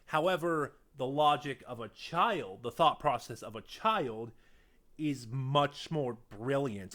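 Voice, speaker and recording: A man with a mid-pitched voice delivers a casual review straight to his viewers. He speaks without a script, halting as he finds his words. He leans on key points and lets his asides trail off more quietly. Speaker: audio drama reviewer on a video channel.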